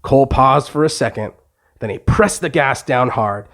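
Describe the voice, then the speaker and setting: A man's voice: medium pitch, moderate pace, over loud music. Man narrating a children's bedtime story.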